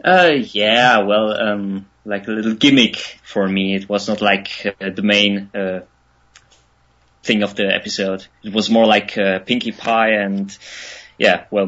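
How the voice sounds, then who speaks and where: A man in his twenties with a German accent, answering interview questions hesitantly, with many ums and pauses. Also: fairly low pitch, fairly even intonation, fairly bright tone. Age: 20s. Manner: hesitant, many ums and uhs, laughs often. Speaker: interview guest, musician. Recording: lo-fi online radio call.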